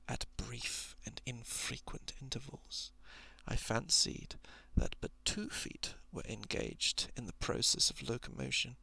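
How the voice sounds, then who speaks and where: A man reading a story in a hushed, deliberate ASMR narration style, often slipping toward a whisper, close to the microphone. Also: mid-range voice, dry recording. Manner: hushed, breathy whispering at times, deliberate literary phrasing. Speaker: storyteller reading a short thriller.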